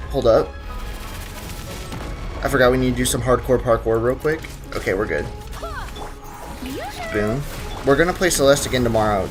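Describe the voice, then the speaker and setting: A young man, chatting casually with his live-stream audience while playing a video game. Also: medium pitch. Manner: chatty, greets chat, talks to himself while playing. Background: faint game music.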